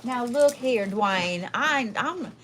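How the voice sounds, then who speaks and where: A woman's voice: on the high side, expressive, takes it slowly, pitched above the performer's own voice. Woman putting on a sassy, twangy Southern gal, noisy background. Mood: amused, teasing. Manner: sassy, chatty, swearing, folksy endearments. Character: Lurleen, sassy foul-mouthed folksy Southern woman giving readings. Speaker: livestream host doing readings. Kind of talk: casual livestream chat in character.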